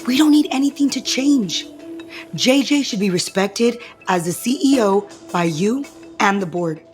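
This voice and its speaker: An actress playing a bold, assertive young schemer in an audio soap opera. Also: female voice, mid-range voice, animated, measured pace, soft background music, natural voice. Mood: terse, insistent. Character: bold, scheming, assertive woman protecting her partner.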